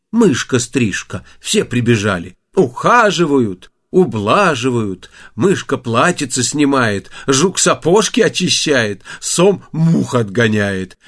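Animated male fairy-tale storyteller. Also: mid-range voice. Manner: theatrical, folksy storytelling. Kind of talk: expressive fairy-tale audiobook narration.

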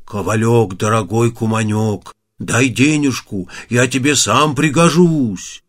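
A man voicing a male catfish in a folk tale, wheedling slowly in a folksy, coaxing way. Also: mid-range voice, voice pushed down.

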